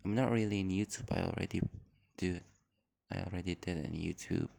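A man's voice: low-pitched, fairly quick, creaky, darkish tone. A man from Indonesia, introducing his podcast in a hesitant, apologetic, rambling way full of fillers, recorded on a phone at home. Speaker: podcast host. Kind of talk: casual unscripted introduction.

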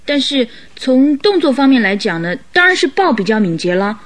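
一位女演员在广播剧中饰演困惑而有礼的年轻女委托人，说话认真谨慎，带有戏剧腔。. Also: female voice, high voice, very animated, normal speed. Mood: earnest. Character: puzzled, polite young lady client of detective. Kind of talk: Chinese radio drama acting.

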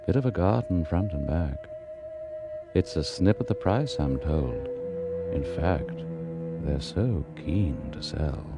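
An actor playing a sinister, wry British storyteller, narrating radio horror with deliberate dramatic pauses and dry relish. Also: male voice, a bass voice, animated, loud music behind the voice, full character voice. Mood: dryly sly.

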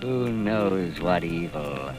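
An actor playing a sinister, knowing, unseen avenger in a radio mystery, with a mocking, drawn-out delivery. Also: male voice, low voice, expressive, over music. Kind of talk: old-time radio mystery acting.

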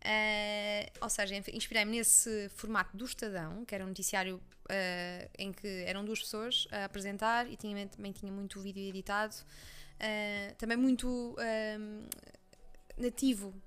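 A woman's voice: medium pitch, clear, resonant tone. A young adult woman journalist with a Portuguese accent from Leiria, talking animatedly in an interview with frequent restarts. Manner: fast, animated, many restarts and fillers. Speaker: journalist guest. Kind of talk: conversational interview.

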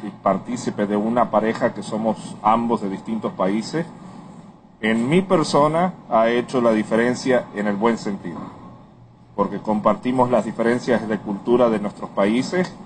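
A man answering interview questions in measured, formal wording. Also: fairly low pitch. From Puerto Rico. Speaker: survey respondent. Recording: noisy on-location interview recording.

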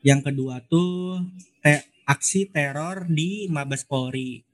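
Man in his twenties, slightly high voice, podcast host, casual chat, informal and repetitive phrasing. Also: slow. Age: 20s. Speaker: podcast host, law student.

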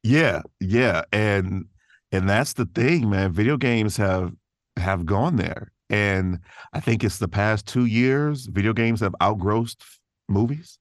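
A man with a low-pitched voice talks casually as an interview guest. He sounds earnest and enthusiastic, phrasing things in a loose, slangy way. The sound is dry, with very little room in it. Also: slow pace, no room sound. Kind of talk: casual conversation.

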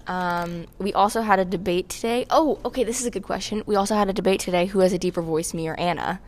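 A woman's voice: mid-range voice, fast talker, slight background noise. A teenage girl co-hosting a casual podcast, chatting about her week in a playful, self-mocking way. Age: teens. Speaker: podcast co-host. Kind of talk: casual chat with a friend.

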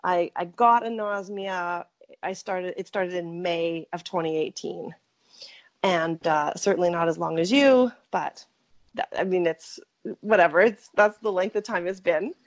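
A woman's voice: on the low side, measured pace. A middle-aged woman from Saskatchewan, Canada, telling her story as a podcast guest in a chatty, rambling way that often corrects itself. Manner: chatty, rambling, frequent self-corrections and laughs. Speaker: interview guest. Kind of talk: conversational storytelling.

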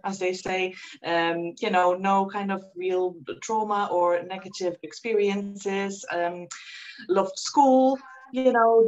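A woman's voice: mid-range voice, lo-fi sound. A Dutch woman in her forties, a guest in an interview, telling her story reflectively with many ums and restarts. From Netherlands. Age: 40s.

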